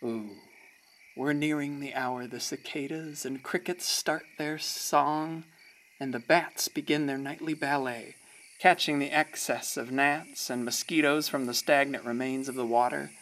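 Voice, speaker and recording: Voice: medium pitch, fairly even intonation, a little slow, a bit bright, slight background noise. An actor narrating a radio play as a folksy, wistful small-town storyteller.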